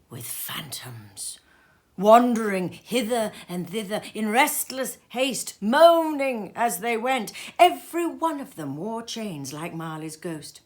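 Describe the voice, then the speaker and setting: A woman reading a classic story aloud as expressive narration, with dramatic, deliberate and emphatic phrasing. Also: mid-range voice. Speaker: storybook reader.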